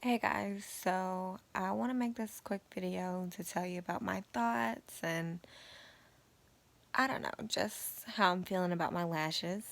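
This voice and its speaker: A young woman vlogging about her beauty routine in a casual, chatty way, with a raspy voice and lots of fillers. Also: lowish voice, a little slow. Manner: chatty, relaxed and informal, with many fillers. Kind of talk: casual chat to camera.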